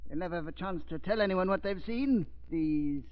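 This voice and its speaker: An actor playing an embittered, vengeful mad scientist, theatrical and contemptuous, in 1930s radio drama style. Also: male voice, high-pitched, normal speed, natural voice. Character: embittered, cackling mad scientist bent on revenge. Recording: dull old radio recording.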